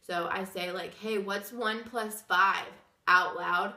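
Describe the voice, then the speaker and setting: A woman's voice: mid-range voice, fairly even intonation, slow, sharp tone, roomy sound, slight background noise. A young woman with a sick, wheezy voice, chatting and rambling through a casual story time vlog. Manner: chatty, rambling with many fillers. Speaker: vlogger.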